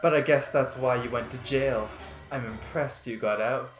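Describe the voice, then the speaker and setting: A woman's voice: very deep voice, normal speed. A woman plays a seductive, menacing prima donna with a commanding, teasing manner. It is an amateur dramatic reading in an echoey room, with faint music behind it. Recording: roomy echo with faint music.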